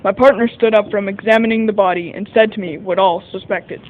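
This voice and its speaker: High-voiced man playing a detective's sidekick, radio mystery. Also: fairly even intonation, fairly quick, narrow, tinny audio, a little hiss or hum, natural voice. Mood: measured recounting. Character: 1940 American detective's sidekick, narrator recounting a case. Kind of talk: scripted first-person radio mystery narration.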